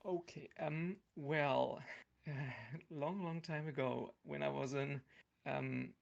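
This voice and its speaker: Hesitant, gravelly young German man. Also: on the high side, slow, dull audio. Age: young adult. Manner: hesitant, searching for words. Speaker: podcast guest, amateur theatre group member. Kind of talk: casual interview chat.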